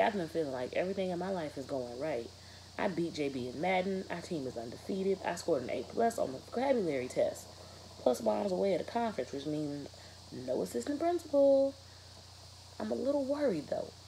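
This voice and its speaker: A woman in her thirties reads to her students like a teacher, pausing to question them. Her voice is low and soft-edged, recorded in a bedroom with a little background noise. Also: vocal fry, rough recording. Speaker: teacher reading aloud to students. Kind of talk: read-aloud of a children's novel with questions to students. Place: bedroom, indoors, sitting in bed.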